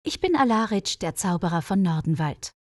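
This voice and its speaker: A woman's voice: mid-range voice, lively intonation, moderate pace, dry recording, clean studio sound, lightly acted. A woman voicing a wise, brave old male wizard in a storybook, speaking in solemn declarations. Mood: bold. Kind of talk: storybook character dialogue.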